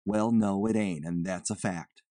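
A woman's voice: very deep voice, steady tone, unhurried, dark tone, dry recording, clean studio sound, voice pushed down. A woman voicing a nervous, evasive man who poses as a chicken buyer, with folksy, ungrammatical, rambling talk. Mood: uneasy, hedging.

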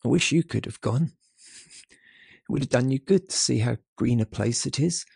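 A gentle, middle-aged family man acted out by a woman narrator, very deep and heavily husky. His gravelly words are tender and heavy with grief, as in a storybook drama. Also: moderate pace, no room sound, exaggerated voice. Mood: tender, subdued. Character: gentle grieving working-class father, middle-aged man. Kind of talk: dramatised audiobook character voice.

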